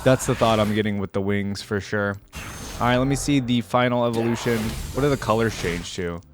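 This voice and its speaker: A man's voice: lowish voice, fairly quick, background noise. A man giving casual, off-the-cuff reaction commentary as a video creator, thinking aloud in a conversational way.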